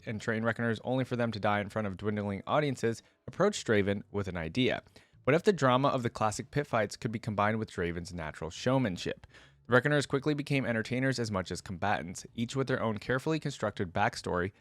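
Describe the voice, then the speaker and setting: A man's voice: mid-range voice, brisk, fairly bright tone, no echo. A man doing casual reaction commentary, reading text aloud and adding his own remarks. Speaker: host of a gaming reaction channel.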